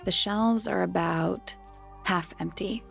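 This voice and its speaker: A young female sleuth in a mystery game. Her voice is lowish and very creaky, with a dry, playful, deadpan wit. Soft music plays underneath. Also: slow pace, exaggerated voice. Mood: wry. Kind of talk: video game voice acting.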